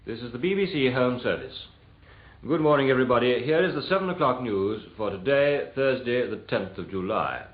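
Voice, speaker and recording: A British man reading the news formally and in measured fashion, on a vintage 1940s radio recording. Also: medium pitch, expressive. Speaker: radio newsreader. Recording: echoey, narrow-band vintage radio recording.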